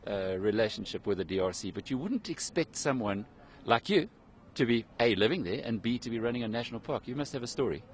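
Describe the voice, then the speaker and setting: Middle-aged guy doing a live tv interview outside, handheld mic, mid pitch, kinda conversational. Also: lively intonation, quick pace, a little hiss or hum. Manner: conversational, with some ums. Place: outdoors in snow.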